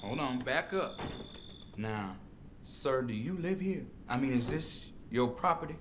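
An actor playing a skeptical sheriff's deputy in a horror video game, patronising and authoritative. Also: male voice, medium pitch, animated, measured pace, background noise, lightly acted. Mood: condescending, wary. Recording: faint background ambience.

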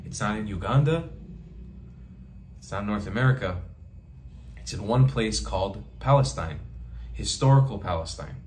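A man's voice: fairly low pitch, animated, moderate pace, long pauses, darkish tone, a little hiss or hum. A young man talking straight to the camera in a small bedroom, giving an impassioned monologue and repeating phrases for emphasis. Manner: emphatic, repeats phrases for rhetorical effect, gestures with hands. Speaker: travel vlogger.

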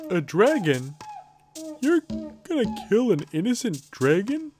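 A woman's voice: mid-range voice, very animated, slow, a little mellow, soft background music. Woman playing an indignant, emphatic fantasy blacksmith. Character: indignant, protective blacksmith who refuses to arm killers. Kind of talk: fantasy audio comedy acting.

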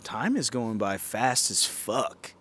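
A man's voice: lowish voice, slow pace, a little hiss or hum. Profane young man riffing outdoors. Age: young adult. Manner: animated, profane, bursts into silly mock singing. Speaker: podcast host. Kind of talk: casual comedic chat. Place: outdoor backyard patio. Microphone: handheld mic.

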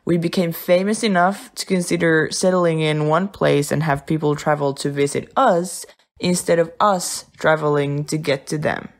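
A woman acting as a loyal, sober funfair worker in an audio drama, speaking in a measured, matter-of-fact way. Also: low-pitched, steady tone. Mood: respectful.

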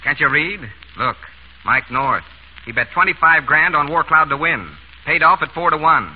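An actor playing a tough, sardonic gambling racketeer in an old-time radio drama, talking in curt slang. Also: male voice, fairly high pitch, animated, moderate pace, breathy edge. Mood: grudging. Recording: muffled, noisy vintage radio recording.

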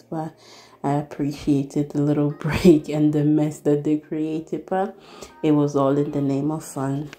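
Low, mellow-voiced woman vlogging. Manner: chatty, laughs often, easygoing. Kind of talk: casual voice-over chat. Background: soft background music.

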